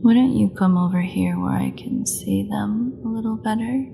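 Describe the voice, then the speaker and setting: A woman's voice: medium pitch, moderate pace, gravelly, music in the background. A woman playing a starving, imprisoned female vampire in an audio roleplay, taunting her captors with a cocky, sarcastic drawl. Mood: predatory teasing. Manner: sarcastic, teasing drawl with dramatic drops. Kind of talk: solo audio roleplay acting.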